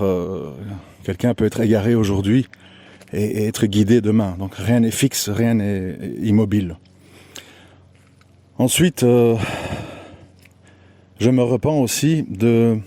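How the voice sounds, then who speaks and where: Mellow-voiced man in a reflective monologue. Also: medium pitch, no room sound. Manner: measured, searching for words with frequent "euh" and restarts; asks rhetorical questions to the listener. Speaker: online commentator. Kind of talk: personal monologue, reflective and preachy.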